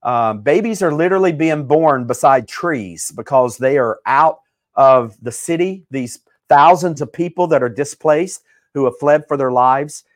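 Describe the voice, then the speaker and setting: A male host greeting viewers on a live show, reading out names and places warmly with many fillers. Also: on the high side, unhurried, dry recording, clean studio sound. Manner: upbeat, shouting out names with fillers.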